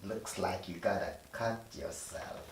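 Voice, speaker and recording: Very deep, guarded tough guy, acted by a man. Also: steady tone. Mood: calm. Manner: terse, hesitant, measured. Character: guarded tough man in his forties, terse and secretive. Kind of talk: table read acting. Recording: roomy, distant mic, faint noise.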